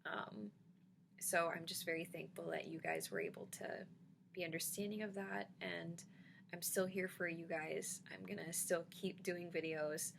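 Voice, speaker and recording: A woman's voice: fairly low pitch, lively intonation, a little slow, gravelly, noisy background. A woman vlogging casually about dentures, reassuring her viewers with frequent ums and a slight lisp. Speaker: denture vlogger answering comments. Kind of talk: casual vlog chat.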